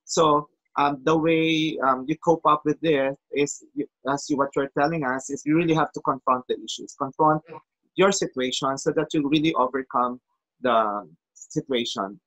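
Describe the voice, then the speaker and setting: Male interview host, deliberate, dry close sound. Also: fairly high pitch, steady tone, fairly bright tone, no room sound, poor audio. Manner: careful, recaps guest's points, hesitant fillers. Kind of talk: interview questions.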